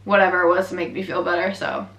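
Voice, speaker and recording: Chatty Canadian woman, bright echoey voice. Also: fairly low pitch, quick pace, creaky, a little hiss or hum. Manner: chatty, candid, laughs often, lots of fillers. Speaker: vlogger. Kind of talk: casual chat, reacting to her own earlier video. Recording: echoey room.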